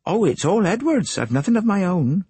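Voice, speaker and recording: A man voicing an anxious, fretful middle-aged wife in an audiobook, gossipy and quick to take offence. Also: high voice, sing-song, mellow, husky, no echo, pitched above the performer's own voice, heavily acted. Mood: indignant. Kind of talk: audiobook character voice.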